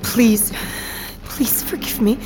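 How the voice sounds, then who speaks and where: Guilt-ridden penitent, audio drama: slightly high voice, slow and halting, pleading. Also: female voice, background noise. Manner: halting, faltering, pleading, breaking into whispers. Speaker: actor in a fiction podcast. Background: faint music.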